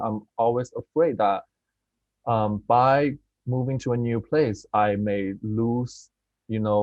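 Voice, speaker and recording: A man's voice: on the low side, a little mellow, no room sound, muffled recording. A man from China, a film director answering interview questions over a video call, earnest and thoughtful, with many fillers and restarts. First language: Mandarin. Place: at home in Beijing, remote call.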